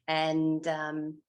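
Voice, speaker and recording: A woman's voice: sits low, a little mellow. An older woman with an Australian accent reminiscing in a casual interview, hesitant as she searches her memory. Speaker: interviewed former actor.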